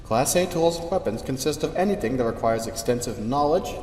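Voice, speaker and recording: A man's voice: medium pitch, lively intonation, a little fast, a little mellow, natural voice. A man playing a matter-of-fact, dryly wry official who formally and methodically reads out safety regulations in a radio drama. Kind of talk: scripted instructional narration. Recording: echoey reverberant sound, faint noise.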